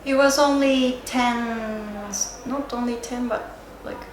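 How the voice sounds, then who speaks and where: Slow-speaking Japanese-accented woman, roomy noisy recording. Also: fairly high pitch, steady tone. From Sendai area, Japan. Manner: hesitant, searching for words, with frequent pauses. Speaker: interviewee, yoga and Japanese teacher. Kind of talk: reflective unscripted interview answers. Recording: roomy echo, clear background noise.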